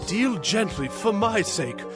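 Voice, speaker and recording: A man's voice: high voice, big pitch swings, slow, music in the background, heavily acted. A man playing a caring, resolute king who speaks solemnly in a dramatized scripture reading. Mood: solemn resolve.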